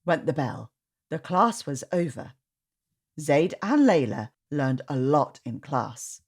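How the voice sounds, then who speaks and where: A woman reading a storybook aloud to children in an expressive, sing-song way. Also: low voice, slow pace, breathy edge. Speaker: storytime reader. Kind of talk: children's read-aloud narration.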